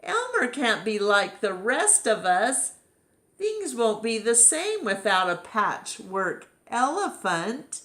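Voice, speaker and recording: An excitable, bewildered picture-book elephant, acted out by a woman reader. The voice jumps up high and loud, with big, exaggerated questions and exclamations. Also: slow pace, exaggerated voice. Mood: dismayed or thrilled. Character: herd of excitable, puzzled storybook elephants.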